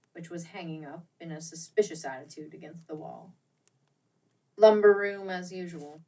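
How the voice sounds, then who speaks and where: A woman with a fairly low voice reads a classic storybook tale. Her delivery is theatrical and expressive, with each phrase set out deliberately. She sounds a little way back from the microphone. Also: recorded from a distance, rough recording. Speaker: audiobook reader. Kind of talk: dramatic storybook narration of a classic ghost tale.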